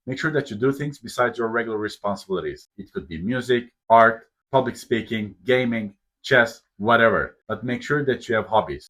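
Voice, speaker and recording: A man in his thirties with a husky, somewhat breathy voice, pitched a little low. He talks straight to camera in an earnest, emphatic way, setting out life advice point by point. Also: fairly bright tone. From Turkey. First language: Turkish. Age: 30s. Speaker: vlogger. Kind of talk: motivational talk to camera.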